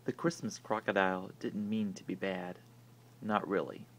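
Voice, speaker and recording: A man reading a children's picture book aloud with deliberate, dramatic storytelling. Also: low-pitched, steady tone, a little hiss or hum. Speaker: story reader. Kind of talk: expressive picture-book narration.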